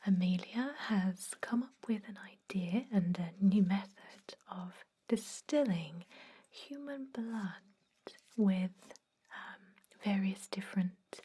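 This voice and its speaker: A woman playing a chatty but gently stern vampire dentist in a whispered ASMR roleplay, rambling in a confiding way with a breathy voice. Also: medium pitch, slow pace. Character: chatty long-lived female vampire dentist, garlic-obsessed, gently stern. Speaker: ASMR performer.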